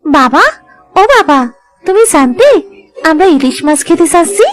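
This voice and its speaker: Lively, high-pitched village family girl-woman voiced by a woman. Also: moderate pace, soft background music. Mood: excited. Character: village wife and excited young daughter. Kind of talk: cartoon voice acting.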